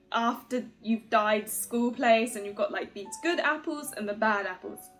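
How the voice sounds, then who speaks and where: Giggly, higher-pitched woman chatting as a fan. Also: a bit bright. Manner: giggly, gushing fan talk, informal and rambling. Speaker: host of a video channel about otome games. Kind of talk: casual commentary to viewers.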